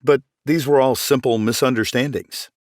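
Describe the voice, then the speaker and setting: A man playing a self-important, defensive accused businessman in an animated comedy, speaking emphatically. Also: mid-range voice, moderate pace, no room sound, clean studio sound, close to an everyday voice.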